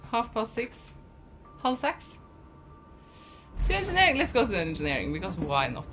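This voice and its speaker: A woman's voice: fairly high pitch, wildly swooping pitch, normal speed, over music. A Norwegian woman with a Norwegian accent, chatting casually and swearing freely while she plays and answers her viewers. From Norway. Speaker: game streamer. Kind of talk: casual gaming commentary.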